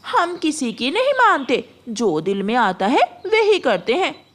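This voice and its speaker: Woman playing a high-pitched brash crow wife. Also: big pitch swings, unhurried, fairly bright tone, full character voice. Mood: scornful, defiant. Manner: mocking, defiant.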